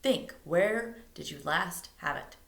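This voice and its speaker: A woman's voice: lowish voice, animated, takes it slowly, vocal fry, fairly bright tone, echoey room, exaggerated voice. A woman reading a storybook as a firm, emphatic, concerned father.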